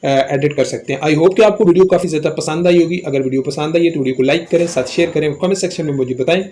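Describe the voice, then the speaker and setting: A man's voice: fairly high pitch, quick pace, dark tone, slight background noise. A man with a Pakistani accent hosting a step-by-step phone app tutorial. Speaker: host of a tech tutorial channel. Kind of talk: scripted-style phone app tutorial narration.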